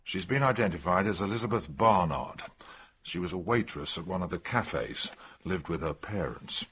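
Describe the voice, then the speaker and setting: An actor playing a gruff, matter-of-fact English police detective with a husky British voice, in a radio drama. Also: male voice, low-pitched, animated, normal speed, a bit bright, a little hiss or hum. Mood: urgent, matter-of-fact.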